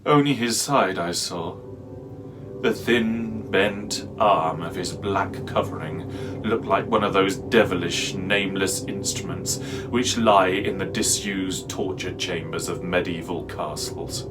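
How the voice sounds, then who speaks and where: A man playing a haunted, fearful narrator in a dramatic reading of a horror tale, speaking deliberately and theatrically with halting pauses. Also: low voice, over music, low-quality recording. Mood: haunted disbelief. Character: haunted, fearful man recounting a nightmarish pursuit. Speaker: actor, narrator.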